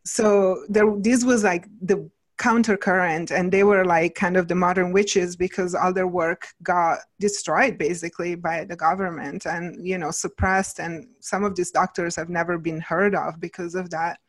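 A woman's voice: fairly low pitch, steady tone, normal speed. Italian woman nutritionist, middle-aged, casual and rambling interview guest. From Italy. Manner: chatty, many fillers like "like" and "you know".

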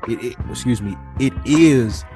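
A man's voice: medium pitch, measured pace, vocal fry, warm tone, faint music behind. Man talking emphatically on a podcast. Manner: emphatic, repeats and rephrases points, uses slang and profanity. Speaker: podcast co-host. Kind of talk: casual conversational podcast talk.

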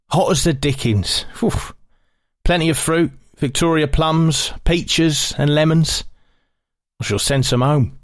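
A man's voice: on the high side, dry recording, clean studio sound. An actor playing a cheerful British sergeant major reading his wartime diary in short, chatty phrases, savouring small pleasures.

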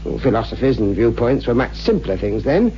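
An actor playing a kindly, learned friend in a 1960s radio horror drama, explaining and cautioning in a measured, gentle manner. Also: male voice, mid-range voice, very animated, lightly acted. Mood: grave, cautioning. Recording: muffled old radio recording, faint hum.

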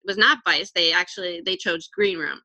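A woman co-hosting a casual commentary chat, measured and wry, with frequent restarts. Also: fairly low pitch, pushed and bright, no room sound.

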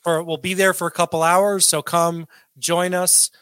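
A man's voice: high-pitched, flat delivery, moderate pace, fairly bright tone, smooth, dry recording, clean studio sound. A man hosting a casual podcast, loose and joking with crude humor. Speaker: podcast host.

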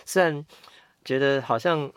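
A man's voice: medium pitch, unhurried, clear, resonant tone, no room sound. A man with a Taiwanese Mandarin accent chatting as a voice director on a panel, explaining things in a talkative way. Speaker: voice director, podcast guest. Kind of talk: casual panel chat. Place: podcast recording studio.

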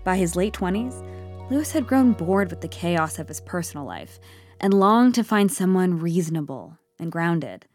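A woman narrating an audio comedy as a wry, measured, all-knowing storyteller in a scripted style. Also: lowish voice, lively intonation, a little mellow, faint music behind. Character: wry omniscient female storyteller.

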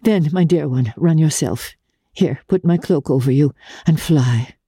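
A woman's voice: low voice, measured pace, warm tone, husky, no room sound, studio quality, heavily acted. A woman voicing an imperious, passionate aristocratic lady in a theatrical, exclamatory, commanding way for a storybook reading. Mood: urgent, commanding.

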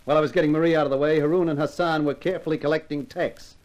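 A man's voice: a little high, steady tone, very fast, darkish tone, highs cut off, close to an everyday voice. A man playing a hardboiled, sardonic private eye in a 1950s radio crime drama, with slangy, punchy delivery. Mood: bitter, sardonic. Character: wisecracking hardboiled American male private detective, weary and defiant. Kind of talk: 1950s radio crime drama acting with first-person narration.

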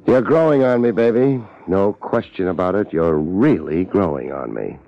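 A dull old radio recording carries a tough American private eye. His voice is low and swings freely in pitch. He is laid-back and wry, tossing off hard-boiled slang in a 1950s detective drama. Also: lively intonation, normal speed, creaky. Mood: relieved, wry.

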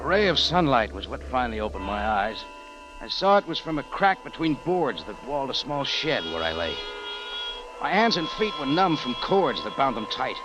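An actor playing a tough, wry hardboiled adventurer who narrates and talks in an old-time radio drama. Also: male voice, medium pitch, sing-song, moderate pace, natural voice. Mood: wry, tense narration. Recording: dull vintage radio recording with music.

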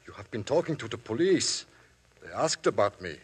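A man's voice: mid-range voice, very animated, normal speed, slightly rough. An actor playing a frightened yet menacing man who taunts and sneers in a 1950s radio drama. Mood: taunting.

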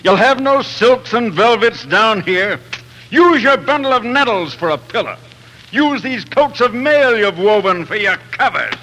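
An actor playing a cruel, taunting jailer, sneering and mocking, in a 1940s radio drama. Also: male voice, high voice, expressive, unhurried, bright, forceful tone, full character voice. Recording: noisy old 1940s radio recording.

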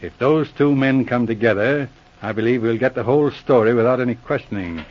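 An actor playing a shrewd, self-assured police sergeant in 1940s radio drama style, brisk, clipped and commanding. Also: male voice, medium pitch, slight background noise, close to an everyday voice. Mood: confident. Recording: dull lo-fi vintage radio recording.

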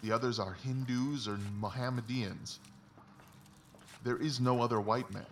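A man's voice: medium pitch, fairly even intonation, a little slow, close to an everyday voice. A man playing a confident, analytical Victorian detective who explains things in a precise, emphatic, didactic way, as in a dramatised audiobook. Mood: brisk, assured explaining. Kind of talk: dramatised literary audiobook dialogue. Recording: distant mic, faint background noise.

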